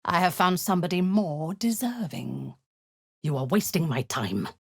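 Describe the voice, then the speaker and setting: Cold, husky warlord queen. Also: on the low side, big pitch swings, normal speed, dry recording, studio quality, heavily acted. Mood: menacing. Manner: cold, commanding, often hissed half-whispers. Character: cruel zealous female warlord commanding goblins. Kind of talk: video game voice acting.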